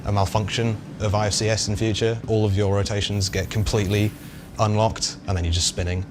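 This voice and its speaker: Casting: low-pitched male developer, informal and tentative explainer, noticeable vehicle ambience behind him. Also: flat delivery, a little fast, background noise. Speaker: game developer guest answering questions. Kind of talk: casual explanatory talk.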